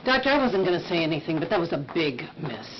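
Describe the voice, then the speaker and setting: A reproachful female colleague. Her voice is low-ish but swings widely in pitch, over clear background noise with dull game audio. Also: sing-song, fast. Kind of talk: live-action game acting.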